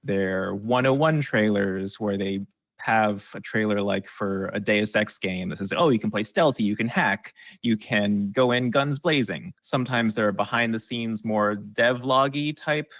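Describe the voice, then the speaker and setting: A man's voice: lowish voice, measured pace, fairly bright tone, no echo. A man giving conversational, explanatory advice as an expert guest in an interview. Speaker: guest expert on game trailers.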